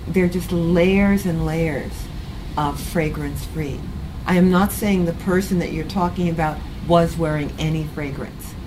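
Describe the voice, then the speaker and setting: A woman facilitating a community meeting on a handheld mic, speaking gently and haltingly as she searches for words. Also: fairly low pitch, noisy background. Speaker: group facilitator. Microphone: handheld microphone.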